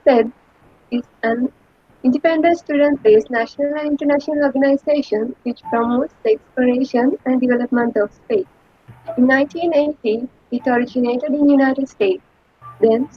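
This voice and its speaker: A woman's voice: high-pitched, slightly rough, muffled recording. A woman from Sri Lanka hosting a live talk with a polite, formal, scripted manner. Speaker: host.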